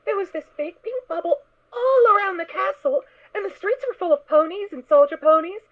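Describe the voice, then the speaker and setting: Naive little filly: very high, childlike, earnest, excitable; tinny phone-like audio. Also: female voice, normal speed. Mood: innocent, chatty. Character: sweet, naive, excitable little girl pony foal. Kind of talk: fan audio drama acting. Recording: tinny, narrow phone-like sound.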